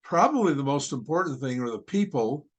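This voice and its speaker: A man's voice: fairly high pitch, big pitch swings, a little fast, slightly rough. A man hosting a podcast interview, talking in a rambling way with many fillers. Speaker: podcast host.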